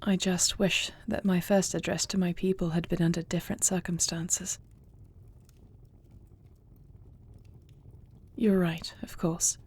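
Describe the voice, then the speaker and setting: A woman's voice: fairly low pitch, flat delivery, normal speed, a little mellow, slightly rough. A woman playing a grieving young warrior princess about to become queen, speaking formally and earnestly in an intimate fantasy audio roleplay. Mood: hushed, resolute. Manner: formal, earnest archaic phrasing.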